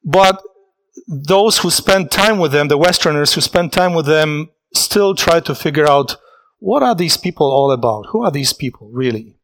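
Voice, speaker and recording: Man, preacher, sermon, earnest, reflective, halting, slightly high voice. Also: lively intonation, fairly bright tone, slight background noise. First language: Polish.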